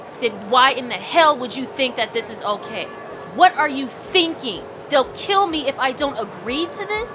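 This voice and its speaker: A woman narrating and playing a sarcastic, quick-tempered young woman who swears and panics. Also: lowish voice, over music, lightly acted. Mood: panicked. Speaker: first-person narrator and lead. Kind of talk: audio drama acting with narration.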